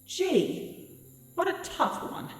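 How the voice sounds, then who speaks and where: A woman voicing a bitter, defiant exiled changeling in an audio play, sarcastic and brash. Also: extremely slow. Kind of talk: audio play character acting.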